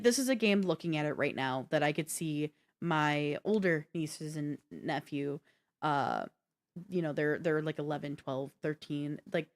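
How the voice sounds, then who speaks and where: Low-voiced woman in casual podcast chat. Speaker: co-host of a gaming podcast.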